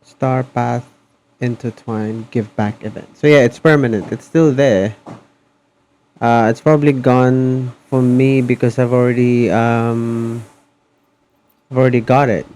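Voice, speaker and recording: A man chatting casually on a game livestream in a hesitant, halting manner. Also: medium pitch, steady tone, a little mellow. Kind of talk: casual stream chat.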